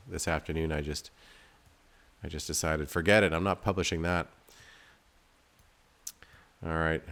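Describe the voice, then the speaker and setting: Low-voiced man casually narrating gameplay. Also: a bit bright. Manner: rambling, self-deprecating, thinking aloud. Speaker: game developer and video host playing his game.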